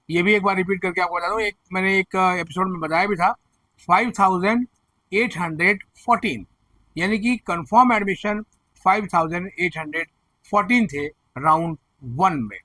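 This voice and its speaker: Hoarse guy doing an admissions update to camera, earnest advice, bright tense tone, dead dry sound. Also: high voice, no room sound, poor audio. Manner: earnest, explanatory, reassuring advice to students and parents. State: hoarse throat from rain. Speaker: education news presenter. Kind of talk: direct-to-camera advisory commentary.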